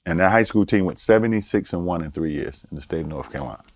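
Older man, very low voice, relaxed storyteller, dry close sound. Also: fast, dry recording. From Baltimore, Maryland. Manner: relaxed storyteller, chuckles, repeats words for emphasis. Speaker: guest. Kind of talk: casual storytelling interview.

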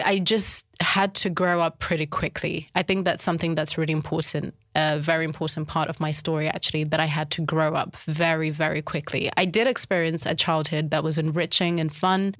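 A woman from Zimbabwe speaks as a podcast guest in a low voice with little rise and fall. She tells her story thoughtfully and without rushing, and each point is calm and considered. The recording is dry and close. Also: dry recording. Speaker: guest, life coach. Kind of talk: reflective interview talk.

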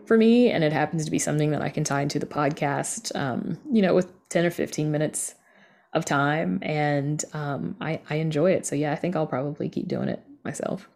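A woman's voice: low-pitched, brisk, creaky, darkish tone. A woman from the American South with an American accent, chatting casually and candidly as an interview guest. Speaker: interview guest, horror writer and podcast creator.

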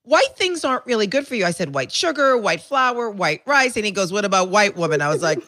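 A woman hosting a talk show, chatty and quick to react, telling anecdotes. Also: medium pitch, very animated, fairly bright tone. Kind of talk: casual talk show chat.

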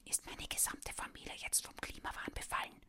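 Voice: a little fast, natural voice. A narrator voicing a mocking, stubborn middle-aged father in an audiobook, in a grumbling whisper. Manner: scornful, grumbling.

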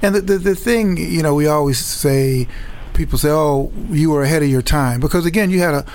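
Chicago guy hosting, gravelly voice, kinda high, stops and restarts a lot. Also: darkish tone. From Chicago, South Side. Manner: halting, repeats words while thinking. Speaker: host. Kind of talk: reflective conversational interview.

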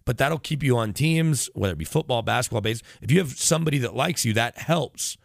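Male sports broadcaster, rapid pace, dry close sound, long-winded, detailed, casual interview talk. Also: medium pitch, expressive, vocal fry, no room sound. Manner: long-winded, detailed explanations with tangents and self-corrections. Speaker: sports radio broadcaster, podcast guest.

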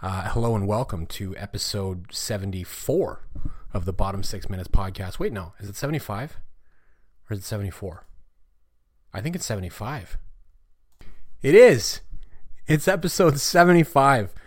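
A man's voice: lowish voice, animated, moderate pace, pauses often. A man hosting a casual hockey recap podcast, rambling and swearing, while losing his voice. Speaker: podcast host.

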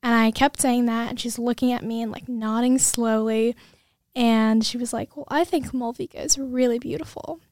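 A woman's voice: on the high side, fairly even intonation, normal speed, darkish tone, no echo, clean studio sound. A woman in her twenties hosting a podcast, talking in an earnest, effusive casual way with lots of fillers. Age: 20s. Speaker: podcast host and student.

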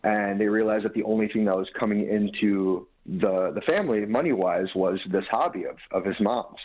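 Male guest, 30s, from Michigan, earnest and rambling, low voice, phone-line sound. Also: normal speed. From Dearborn, Michigan. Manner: earnest, rambling with many restarts. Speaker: guest, food business owner. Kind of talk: casual radio interview. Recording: tinny phone-line audio.

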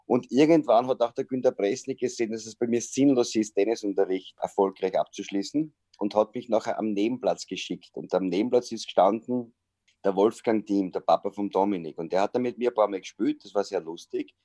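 Low, mellow Austrian man. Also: brisk, no echo. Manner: chatty storyteller with frequent hesitations. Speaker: sports journalist and comedian. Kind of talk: casual interview over video call. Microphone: video call.

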